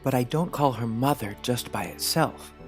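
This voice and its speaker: A man's voice: medium pitch, moderate pace, natural voice. A man playing an earnest, affectionate, dreamy thirteen-year-old boy in a dramatized story. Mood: tender, earnest. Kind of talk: dramatized audiobook character acting. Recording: music bed under the voice.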